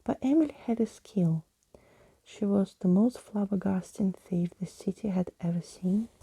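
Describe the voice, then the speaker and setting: Hushed, very mellow woman reading softly. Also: mid-range voice, normal speed, husky, no room sound, low-quality recording. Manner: hushed, often dropping into whispers. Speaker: host reading a children's story aloud. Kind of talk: soft-spoken ASMR storybook reading.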